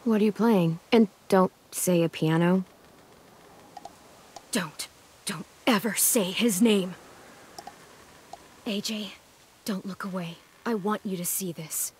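A woman's voice: medium pitch, takes it slowly, pauses often, a little mellow, slight background noise, close to an everyday voice. A woman voicing a tough, sardonic teenage American girl in a zombie survival video game, blunt and dry. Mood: dry, weary, then cold menace.

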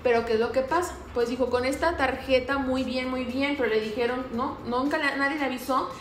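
A young Mexican woman co-hosting a gossip show, chatting in a lively, mocking way. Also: sits high, brisk, a little hiss or hum. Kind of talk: casual gossip chat. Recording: roomy studio with faint ambience.

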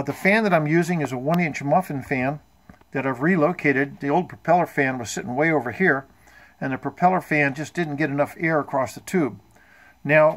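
A man in his seventies giving an informal, matter-of-fact technical show-and-tell of his homemade radio equipment in a workshop. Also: a little high. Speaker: amateur radio hobbyist. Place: indoor home workshop.

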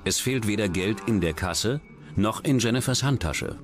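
A man reading scripted true-crime documentary narration in a measured, matter-of-fact manner. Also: fairly low pitch, animated. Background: background music.

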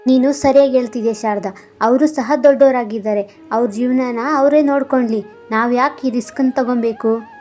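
A woman's voice: fairly high pitch, fairly even intonation, normal speed, mellow, faint music behind, natural voice. A woman voicing a self-serving uncle in a cartoon story, blunt and full of self-justification. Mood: self-justifying.

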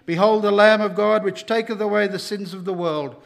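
Older man preaching emphatically, high voice. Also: fairly even intonation, fairly quick, creaky. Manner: emphatic preaching, rising loud on key phrases. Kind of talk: sermon. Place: church hall, at a lectern. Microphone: lectern microphone.

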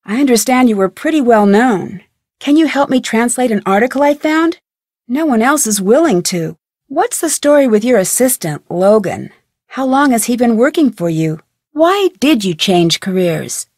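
A woman's voice: a little high, lively intonation, normal speed, darkish tone, dry recording, clean studio sound. An actress playing a curious, polite and determined young female detective in scripted, conversational video game dialogue. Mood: inquisitive.

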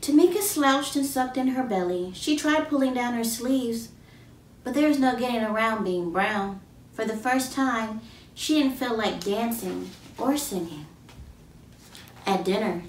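A woman in her thirties reads a children's story with expressive, drawn-out emphasis. Her voice sits a little high. It is recorded in an echoey room, slightly away from the mic, with faint noise behind her. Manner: expressive, drawn-out emphasis, questions to young listeners. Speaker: children's book author reading aloud. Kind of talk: picture-book read-aloud for children. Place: small plain indoor room. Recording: roomy, distant mic, faint background noise.

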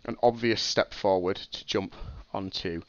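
A man's voice: on the low side, fairly even intonation, unhurried, no echo. Young male streamer, sniffly from a cold, loose think-aloud teaching, dry and slightly muffled home mic. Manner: thinking aloud, many ums and restarts. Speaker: streamer teaching game programming. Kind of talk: casual live-stream explanation.

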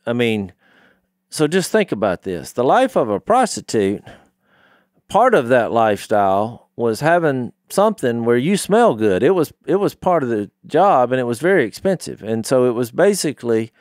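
A middle-aged man talks through scripture as a podcast co-host. He tells it like a story, leaning on key phrases, at a medium pitch. The sound is close and dry. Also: very animated, moderate pace, darkish tone, no echo. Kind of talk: conversational Bible discussion. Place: small wood-panelled podcast studio. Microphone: studio microphone on boom, close.

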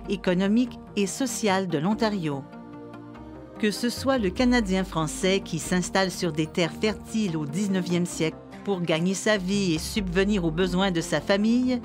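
A woman giving scripted documentary narration in a measured, even reading. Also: mid-range voice. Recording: voice-over with clear music underneath.

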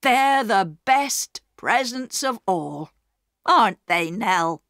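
Woman acting a proud working-class father, slow and emphatic. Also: fairly high pitch, lively intonation, fairly bright tone, no echo, studio quality, exaggerated voice. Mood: moved, grateful. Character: proud, gruff working-class father, poor but honest. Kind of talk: storybook character reading.

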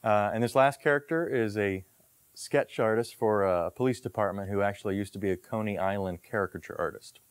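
A man's voice: on the low side, a little fast, smooth, no echo. A man in his thirties casually introducing bits to camera as a comedian, on a lapel mic. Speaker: comedian presenting a character reel. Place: indoor room with brick wall.

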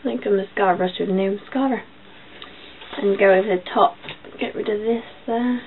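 A young woman with a croaky voice, chatting casually through a makeup tutorial in her bedroom, with filler ums as she goes. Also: mid-range voice, fairly even intonation, moderate pace. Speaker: beauty vlogger. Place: small bedroom. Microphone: built-in webcam mic. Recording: tinny lo-fi webcam audio, faint hiss.

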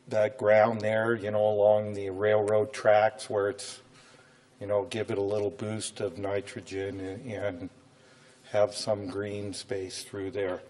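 Middle-aged man, American accent, lowish voice, fairly even pitch, voice worn by a cold, plain-spoken, halting, city official presenting. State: voice coming and going from a cold. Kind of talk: informal presentation to a city council. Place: indoor council chamber, standing at a podium.

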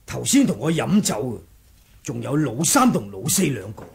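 An actor playing a cunning, composed martial monk in a 1980s radio drama, husky and deliberate in his sly bargaining. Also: medium pitch, sing-song, crowd noise. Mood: calm scheming. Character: cunning martial monk who feigned muteness, scheming and composed.

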